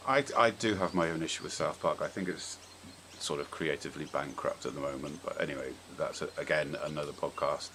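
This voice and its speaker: Male podcast host, very deep voice, conversational and tentative, some background noise. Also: fairly quick, pushed and bright. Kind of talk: casual podcast discussion.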